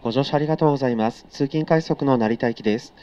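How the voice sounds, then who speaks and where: A man's voice: mid-range voice, rapid-fire, mellow, smooth. A man working as a train conductor, making polite, formal, routine announcements over the onboard PA. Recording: dull, muffled train PA.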